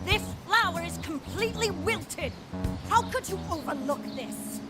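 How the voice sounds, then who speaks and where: An actress playing a two-faced female city mayor in a game dub, sharp and scolding with her staff and sugary and gracious with visitors. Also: very high voice, lively intonation, unhurried. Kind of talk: video game voice acting. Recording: game cutscene with loud music behind.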